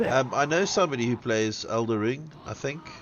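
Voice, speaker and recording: A man's voice: medium pitch, animated, moderate pace. A man from South Africa with a South African accent, chatting casually and crudely to his live-stream audience while gaming. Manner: rambling and crude, swears freely. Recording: dull lo-fi with background noise.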